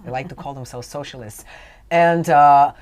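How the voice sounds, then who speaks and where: Low-voiced earnest woman guest. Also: normal speed, darkish tone, a little hiss or hum. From Romania, raised mostly in Canada. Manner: earnest, emphatic, occasionally wry. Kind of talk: telling her life story in a radio interview.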